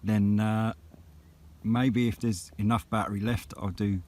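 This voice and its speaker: A man's voice: fairly low pitch, fairly even intonation, unhurried, darkish tone. A man, chatting casually outdoors as a vlogger and CB radio hobbyist. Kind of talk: casual vlog chat and radio exchanges. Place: outdoors on a hilltop.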